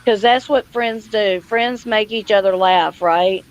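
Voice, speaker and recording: A woman's voice: medium pitch, a little slow, muffled recording. A woman hosting a casual livestream, chatty, folksy and welcoming. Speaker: livestream host.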